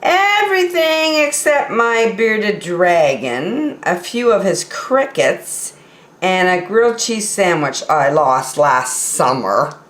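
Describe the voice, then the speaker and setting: A woman reading a children's story aloud in an expressive, playful way, with small asides to her listeners. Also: medium pitch, takes it slowly. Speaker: storytime reader. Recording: roomy, echoey sound.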